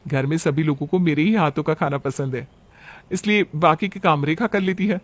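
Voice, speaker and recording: A man's voice: fairly high pitch, moderate pace, slight background noise, close to an everyday voice. A man voicing a sweet, dutiful young daughter-in-law in a cartoon family story, polite and earnest. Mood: earnest protest.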